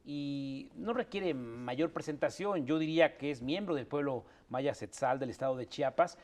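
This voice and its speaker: A man's voice: medium pitch, moderate pace. A man hosting a radio talk show, framing questions with many hesitant fillers. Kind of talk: hosting a panel and phone interview.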